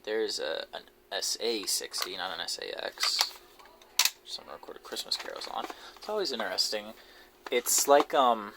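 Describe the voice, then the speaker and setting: A man giving casual, unscripted show-and-tell commentary in a low-key, halting way, as if musing to himself. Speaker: hobbyist video creator showing a cassette collection. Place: basement, indoors. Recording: noisy amateur audio with clicks and handling noise.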